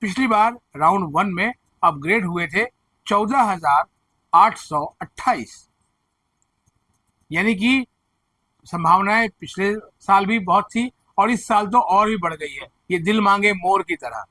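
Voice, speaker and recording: A man with a hoarse throat presenting education news, explaining admission figures and earnestly reassuring students and parents. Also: high voice, animated, measured pace, sharp tone, dry recording, poor audio. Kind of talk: direct-to-camera advisory commentary.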